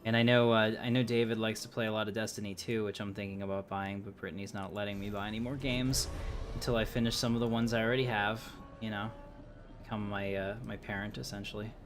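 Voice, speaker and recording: A man's voice: fairly low pitch, steady tone, quick pace, noisy background. A man livestreaming a game who chats casually in a rambling way with frequent fillers. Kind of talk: casual gameplay chat.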